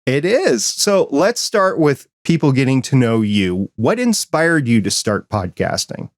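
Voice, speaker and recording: Guy hosting a podcast, chatty and upbeat, dry close mic, mid pitch. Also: big pitch swings, a little slow, no room sound. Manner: chatty, enthusiastic, conversational. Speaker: podcast host. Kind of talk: casual podcast chat and news roundup.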